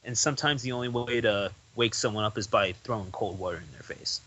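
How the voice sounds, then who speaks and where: A man's voice: lowish voice, brisk, bright, forceful tone, poor audio. A young man talking as a guest on a podcast, rambling loosely with lots of "like" and restarts. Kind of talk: casual interview chat.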